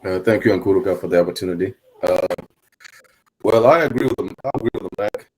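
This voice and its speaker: A man's voice: sits low, fast, a little mellow, rough recording. A man answering on a panel over a video call, hesitant, with many "uh"s and repeated words.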